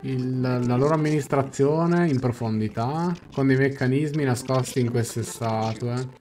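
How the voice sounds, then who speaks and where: A man's voice: mid-range voice, unhurried, darkish tone. A man in his twenties with an Italian accent, giving casual live game reactions and translations. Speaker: game streamer. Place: small room at home. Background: faint game music.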